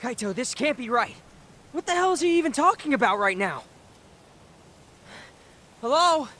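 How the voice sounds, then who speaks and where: A man's voice: very high voice, sing-song, normal speed, bright, forceful tone, full character voice. An actor playing an impulsive, desperate teenage boy in a dramatic video game cutscene, with a pleading, outspoken delivery. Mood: frantic, indignant.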